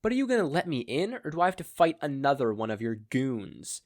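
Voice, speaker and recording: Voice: mid-range voice, big pitch swings, fairly quick, darkish tone, voice pushed up, lightly acted. A performer voicing a confident, wisecracking woman superhero with casual, taunting quips in an audio story.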